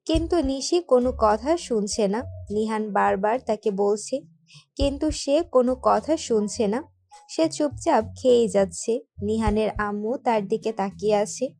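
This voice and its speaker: A woman's voice: on the high side, measured pace, warm tone. A woman reading scripted narration for a romantic story. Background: background music.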